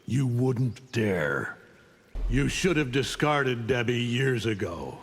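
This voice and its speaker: Scornful domineering supervillain conqueror, game dialogue, mid pitch, big echoey reverb. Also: male voice, very animated, slow pace, slightly rough, heavily acted. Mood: contemptuous. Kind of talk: video game character dialogue. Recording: long hall reverb.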